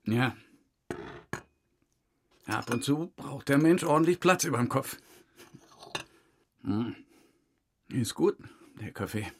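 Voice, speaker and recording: A steady, folksy country man in middle age in a crime drama. He speaks at an ordinary male pitch, in short colloquial sentences with an amiable manner. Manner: unhurried, homespun, clipped colloquial sentences. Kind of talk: naturalistic crime radio drama dialogue.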